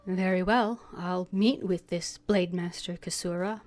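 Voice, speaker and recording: A woman playing a composed, willing adventurer hero in a fantasy video game, replying briefly and in a measured way. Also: lowish voice, steady tone, natural voice.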